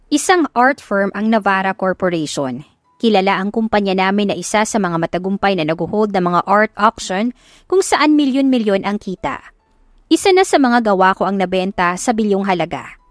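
A woman narrates a first-person love story as a scripted audiobook. Her voice sits at a medium pitch, recorded close and dry with no room sound. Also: dry recording.

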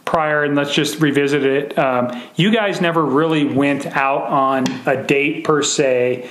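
An American man questioning as a police investigator, phrasing things carefully and haltingly, on a recorded phone interview. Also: fairly high pitch, a bit bright, roomy sound.

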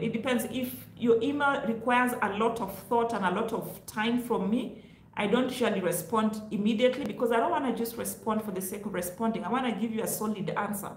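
A woman's voice: a little high, a little hiss or hum. A middle-aged woman with a Kenyan accent giving casual, emphatic advice to viewers as a vlog host. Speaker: host, careers advice vlogger. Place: small indoor room, home office. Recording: echoey untreated room.

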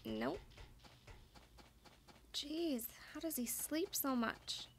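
A woman's voice: high voice, drawn-out words, gravelly, slight background noise. A woman giving casual let's play commentary on a farming game, musing aloud to herself as she plays. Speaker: streamer playing a farming game. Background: game music.

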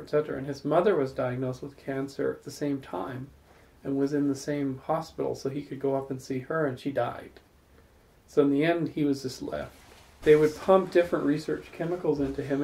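A man hosts a casual salon in a living room and spins long, thoughtful stories. His voice has a gravelly texture and a dark, mellow tone, and he is picked up from across the room. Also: medium pitch, rough recording. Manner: thoughtful, rambling, builds long spoken stories. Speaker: salon host. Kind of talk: reflective storytelling in casual group talk.

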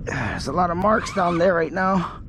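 A man's voice: sits high, lively intonation, moderate pace. A man fishing from a kayak at sea, giving a casual running commentary to himself in short, muttered remarks. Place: on a kayak out on the ocean. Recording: noisy outdoor ocean sound.

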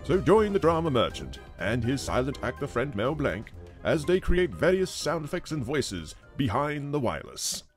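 A man's voice: medium pitch, sing-song, moderate pace, hardly any pauses, soft background music. A man presenting a children's show from a script.

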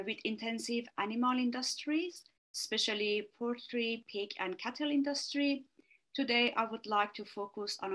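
A woman's voice: fairly high pitch, a bit bright, low-quality recording. Iranian woman giving an online talk. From Iran. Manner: hesitant, many fillers, halting restarts. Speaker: research fellow presenting a webinar talk. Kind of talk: online academic presentation. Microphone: video call.

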